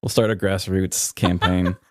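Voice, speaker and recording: A man's voice: deep voice, flat delivery, a little slow, a little mellow, no room sound, clean studio sound. A man with an American accent co-hosts a podcast, bantering casually and telling stories. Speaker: podcast co-host.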